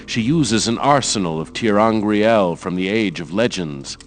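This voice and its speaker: Taunting scheming game villain, lowish voice, theatrical, music behind him. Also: male voice, animated, unhurried. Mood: guarded, reporting. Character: scheming, vengeful man, former lackey gloating over his master. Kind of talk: dramatic fantasy game voice acting.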